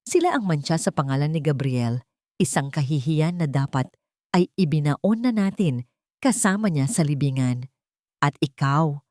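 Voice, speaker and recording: A woman's voice: sits low, expressive, moderate pace, a little mellow, no echo. A woman narrator plays a haughty older matriarch in a family melodrama. She is furious, scornful and imperious, issuing threats in a dry, close recording. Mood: bitter, accusing.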